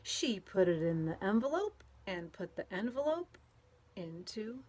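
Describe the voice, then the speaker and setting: A woman reads a children's storybook aloud with a very lively, swooping delivery. She takes her time, stretching words out expressively for young listeners. Her medium-pitched voice gives playful weight to the silly words. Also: measured pace. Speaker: storybook read-aloud reader. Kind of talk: animated children's picture-book read-aloud.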